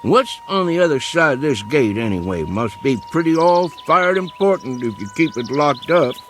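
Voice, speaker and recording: A lively-pitched backwoods coon hunter. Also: male voice, soft background music. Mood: curious. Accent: rural Southern American. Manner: homespun, unhurried, plain-spoken. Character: stubborn, plain-spoken backwoods male coon hunter, loyal to dog. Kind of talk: vintage television drama acting.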